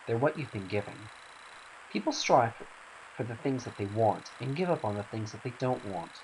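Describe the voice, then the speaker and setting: Man voicing a stern, pragmatic woman, animated delivery. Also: mid-range voice, moderate pace, natural voice, poor audio. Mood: stern, matter-of-fact. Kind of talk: dramatic reading of game cutscene dialogue. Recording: faint hiss.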